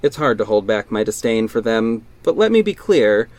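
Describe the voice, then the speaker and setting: A man's voice: on the low side, very animated, moderate pace, darkish tone. A man playing a wealthy orphaned young hero in an audio drama, guarded and earnest, with dry sarcasm and a defensive edge. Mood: exasperated. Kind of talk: first-person audio drama narration and dialogue.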